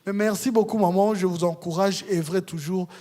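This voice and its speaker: A man hosts a conference and mostly chimes in with quick, grateful thanks. His voice sits fairly high. Everything he says rings with the long reverb of the hall. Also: fairly even intonation, mellow. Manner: short, grateful interjections. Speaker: conference host. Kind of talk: brief thanks between speakers.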